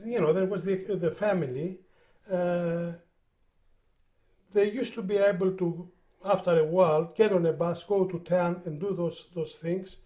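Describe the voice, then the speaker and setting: Older Greek-born man, accented English, higher pitched with a warm dark tone, halting reminiscence. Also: steady tone. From Greece. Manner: halting, repeats words while recalling. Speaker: interviewee. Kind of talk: oral history interview.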